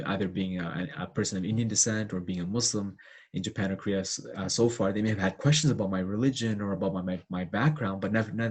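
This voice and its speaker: A man's voice: lowish voice, slightly rough. A young man talking casually with many fillers and restarts, sharing his experiences as co-host. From Canada. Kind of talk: casual discussion.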